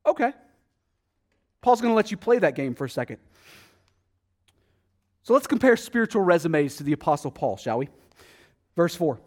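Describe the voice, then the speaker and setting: A man preaching a sermon as pastor, conversational, with rhetorical questions and dramatic swings between loud emphasis and quiet asides. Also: on the high side, sing-song, moderate pace, long pauses.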